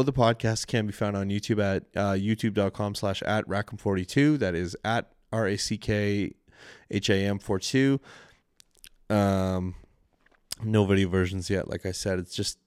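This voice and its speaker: A man's voice: lowish voice, darkish tone, smooth, dry recording, clean studio sound. A man with a Canadian accent hosting his own solo podcast, rambling and self-deprecating in casual chat, with frequent swearing. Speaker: podcast host. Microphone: studio mic with mixer.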